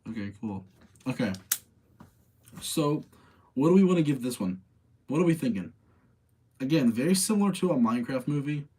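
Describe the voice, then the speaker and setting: Casual male streamer with a slightly husky voice. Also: medium pitch, lively intonation, moderate pace, long pauses, mellow. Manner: opinionated, self-debating, repeats phrases for emphasis. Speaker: streamer hosting a live movie-rating chat. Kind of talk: casual chat with live audience.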